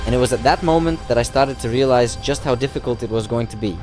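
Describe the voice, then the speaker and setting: A man tells the story of his own endurance run in a calm, earnest voice-over at an ordinary mid pitch. He recounts events step by step, and music plays clearly beneath him. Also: fast. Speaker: runner telling his own challenge. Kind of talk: reflective voice-over narration.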